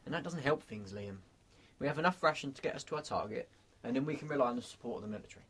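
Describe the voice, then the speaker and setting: A man playing a gruff, sardonic leader of apocalypse survivors in an amateur radio drama, weary but taking charge. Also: mid-range voice, lively intonation, rapid-fire, fairly bright tone. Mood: calm, firm. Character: Duncan, gruff level-headed male leader of apocalypse survivors.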